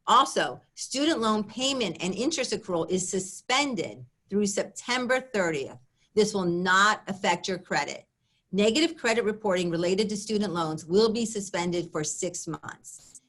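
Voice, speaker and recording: A woman presents at a webinar, reading her prepared remarks. Her delivery is measured and even, and it drops at the ends of sentences. Her voice sits at a middle pitch.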